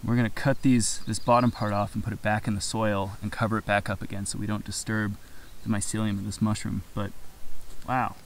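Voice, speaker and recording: A man's voice: fairly low pitch, little pitch movement, a little fast. Young man foraging, noisy outdoor recording. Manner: enthusiastic, informal. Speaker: mushroom forager and video host. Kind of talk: casual outdoor how-to commentary. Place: outdoors in a conifer forest.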